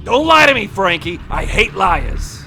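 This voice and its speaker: An actor playing a threatening masked vigilante with a gravelly voice in a radio play. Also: male voice, high-pitched, sing-song, a little slow, pushed and bright, soft background music, heavily acted. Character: menacing male masked vigilante interrogator.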